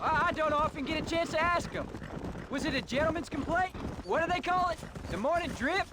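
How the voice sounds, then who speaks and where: A very high-pitched American teenage boy, earnest and deferential. He asks things hesitantly, like a curious frontier son in a game cutscene, with plain outdoor noise around him. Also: a little fast. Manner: polite, hesitant, inquisitive. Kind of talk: video game cutscene acting. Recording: outdoor noise of horses and wagon.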